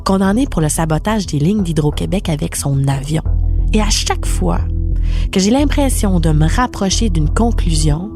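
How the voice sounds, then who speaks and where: A woman journalist, reading a podcast promo in a measured storytelling tone. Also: fairly low pitch, lively intonation. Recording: voice over music.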